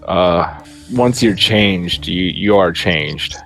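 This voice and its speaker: A man's voice: sits low, lively intonation, extremely slow, creaky, fairly bright tone, faint music behind, rough recording. A man playing a tabletop roleplaying game, talking casually in character on a video call. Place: home room, online video call.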